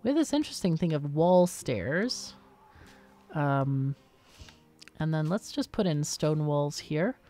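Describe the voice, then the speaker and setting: A woman's voice: low-pitched, expressive, takes it slowly, pauses often, mellow. A woman giving casual game commentary, chatting and thinking aloud as she plays. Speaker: gaming host. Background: game music.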